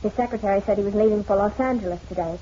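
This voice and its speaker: A woman's voice: medium pitch, racing, warm tone, close to an everyday voice. A woman playing a sassy, efficient detective's secretary in an old-time radio drama, teasing and breezy. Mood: brisk. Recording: muffled, noisy old radio recording.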